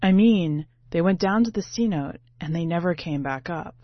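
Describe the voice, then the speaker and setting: A woman's voice: fairly low pitch, animated, normal speed. A woman voicing an anxious, earnest young male ticket taker, insistent and uneasy, in a story reading. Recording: muffled, roomy home recording.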